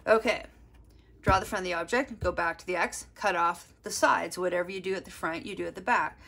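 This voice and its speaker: A woman's voice: lowish voice, brisk, a bit bright. Woman art teacher talking while drawing. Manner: explains while drawing, thinking aloud. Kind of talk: step-by-step drawing lesson. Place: desk, overhead camera on drawing.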